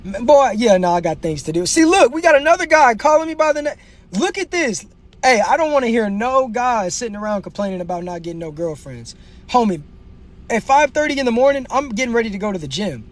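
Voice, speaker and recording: Brash high-voiced man ranting on a livestream. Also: brisk. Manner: brash, animated, slangy trash talk. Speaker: livestream host. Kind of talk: comedic livestream rant.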